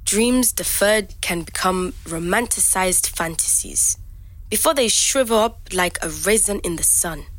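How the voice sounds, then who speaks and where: A woman playing a reflective young migrant, speaking measured, introspective fragments as a scripted audio diary. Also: medium pitch, a little hiss or hum, poor audio. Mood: pensive, weary. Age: young adult. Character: reflective young African migrant woman recording private night-time notes.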